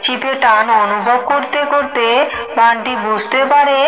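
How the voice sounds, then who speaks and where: A woman's voice: a little high, unhurried. A woman narrating a scripted cartoon horror story as the storyteller. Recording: loud noisy background ambience.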